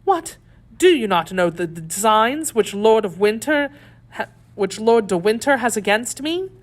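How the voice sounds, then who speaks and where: Woman acting a melodramatic, high-pitched pleading noblewoman. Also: expressive, a little slow. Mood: shocked. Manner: melodramatic, imploring. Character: scheming noblewoman prisoner feigning pious despair. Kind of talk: dramatic audiobook character reading.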